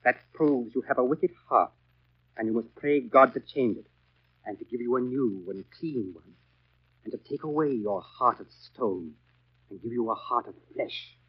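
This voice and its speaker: An actor playing a pompous, sanctimonious school overseer, preaching sternly in 1930s radio drama style. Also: male voice, mid-range voice, normal speed, husky, natural voice. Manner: pompous, preachy, exaggerated swoops. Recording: muffled vintage 1930s radio recording.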